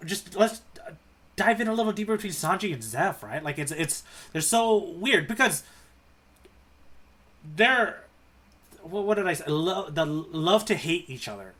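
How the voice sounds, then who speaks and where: Slightly high-voiced man in casual podcast chat. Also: lively intonation, a little slow, long pauses, a bit bright. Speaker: podcast co-host. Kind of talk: casual chat, manga readalong discussion.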